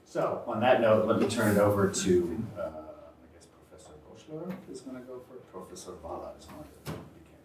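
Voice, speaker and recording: A man hosting an event, introducing a speaker in a halting way with many filler sounds. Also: lowish voice, lively intonation, a little mellow. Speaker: event host. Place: lecture room. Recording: roomy, from far off, audible hiss.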